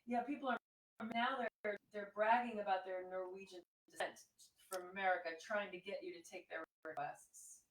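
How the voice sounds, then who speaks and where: A woman relaying song requests in casual, somewhat halting chat, heard from away from the microphone in a roomy space. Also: medium pitch. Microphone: distant from the microphone. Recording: roomy, far from mic, faint noise.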